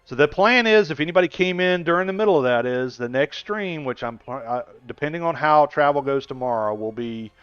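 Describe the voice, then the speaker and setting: A man's voice: on the high side, animated, fairly quick, few pauses, fairly bright tone, clean tone, dry recording, faint music behind. A man in his sixties chatting casually on a gaming live stream, rambling and laughing often as he answers viewers. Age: 60s. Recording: dull lo-fi stream audio.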